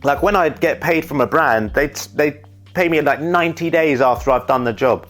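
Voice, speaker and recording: A man's voice: on the high side, moderate pace, fairly bright tone. A young man talking candidly about his life, rambling and often saying "you know". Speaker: YouTuber and wedding videographer. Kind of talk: reflective casual monologue.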